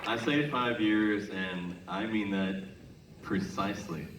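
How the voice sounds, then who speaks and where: An American man telling humorous stories onstage to a concert audience, conversational, with deliberate pauses before punchlines. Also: fairly low pitch, a loud crowd. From Minnesota, United States. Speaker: singer addressing a concert audience. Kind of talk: humorous onstage storytelling between songs. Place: large casino concert venue. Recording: echoey live hall, distant noisy PA sound.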